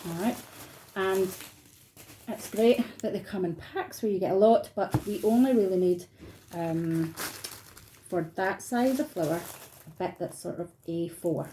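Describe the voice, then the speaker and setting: A woman's voice: lowish voice, warm tone, slight background noise, low-quality recording. A middle-aged woman with a Scottish accent, chatting informally and encouragingly as she teaches an online craft workshop from her dining room. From Scotland. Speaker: art workshop tutor.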